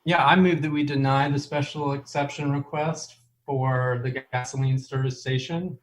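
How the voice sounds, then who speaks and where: Flat-toned male board member, noisy audio. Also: medium pitch, normal speed. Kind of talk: formal motion-making at a meeting. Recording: clear background noise on meeting audio.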